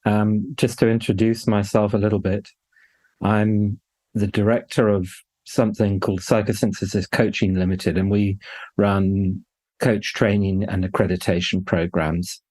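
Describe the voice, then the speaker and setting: A man's voice: fairly low pitch, level tone, a little slow, a little mellow, dry recording. A man in his sixties, a coach trainer, introducing himself thoughtfully on a podcast.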